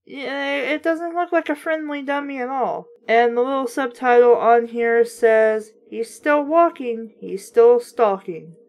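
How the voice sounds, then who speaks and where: A woman's voice: a little high, a little slow, few pauses, a little mellow. A woman reading a children's book aloud in a casual way, breaking off for chatty, wry, skeptical commentary.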